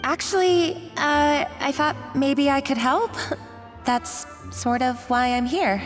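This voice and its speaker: An earnest young chef, modest and a little tentative, with quiet determination. The voice is slightly high, in game style, with reverb and music behind. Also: female voice, takes it slowly. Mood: sheepish. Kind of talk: video game voice acting.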